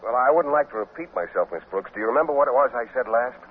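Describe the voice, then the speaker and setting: A man's voice: medium pitch, lively intonation, fast talker, lightly acted. An actor playing a shy, formal, naive male teacher and coach with hesitant delivery in a 1950s radio sitcom. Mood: bashful. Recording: tinny, noisy 1950s radio recording.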